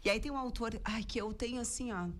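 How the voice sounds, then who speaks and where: A woman's voice: a little high, steady tone, fast talker. A Brazilian woman with a cold, a radio guest talking reflectively in casual chat, often ending phrases with a questioning tag. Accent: Brazilian Portuguese. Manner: warm, reflective, frequent "né?" tags. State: has a cold. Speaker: radio guest commentator on happiness. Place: radio studio.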